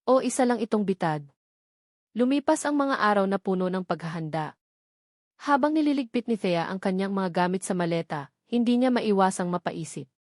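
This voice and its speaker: A woman's voice: mid-range voice, animated, normal speed, no echo. Female Filipino storyteller for romance narration, mid-range voice, dry intimate recording.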